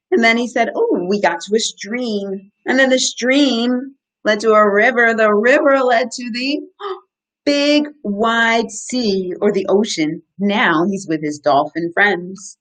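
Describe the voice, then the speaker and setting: A woman in her thirties, an educator reading to children in an animated, questioning way and explaining as she goes. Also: a little high, rough recording. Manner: animated, asks the listeners simple questions. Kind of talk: interactive children's story time, reading and explaining.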